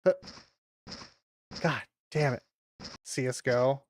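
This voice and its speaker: A woman's voice: rumbling low, takes it slowly, darkish tone, slight background noise. A woman streaming a video game, commenting casually with a lot of exasperated swearing and exclaiming. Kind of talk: casual live gameplay commentary.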